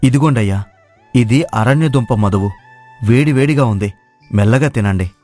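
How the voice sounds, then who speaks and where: A man's voice: mid-range voice, normal speed, warm tone, lightly acted. A man playing a humble, kind-hearted village cook in a moral story, speaking earnestly and plainly. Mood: caring. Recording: dry studio voice over music.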